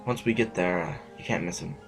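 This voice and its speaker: An actor playing an anxious, weary, conscientious official in an audio drama, speaking formally with hesitant stammers. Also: male voice, low-pitched, fairly quick, creaky. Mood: grim, informative. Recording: music under the voice. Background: music.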